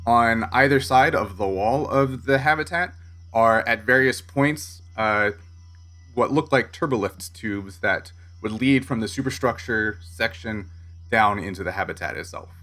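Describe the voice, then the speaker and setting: A man narrating a tabletop sci-fi game as game master, halting and correcting himself as he explains. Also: medium pitch, pushed and bright, faint music behind. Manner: halting, self-correcting delivery.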